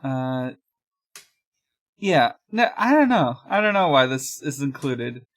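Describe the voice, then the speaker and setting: A woman's voice: very deep voice, very animated, slow pace. A woman co-hosting a podcast, chatting casually and reading fan fiction aloud. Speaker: podcast co-host.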